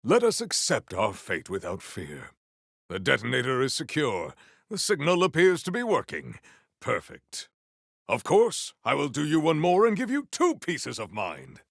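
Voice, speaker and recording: An actor playing a noble, stern warrior in a video game, speaking with formal, grandiose deadpan deliberation. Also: male voice, mid-range voice, moderate pace, vocal fry, dry recording, clean studio sound, exaggerated voice. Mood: resolute. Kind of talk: theatrical video game character acting.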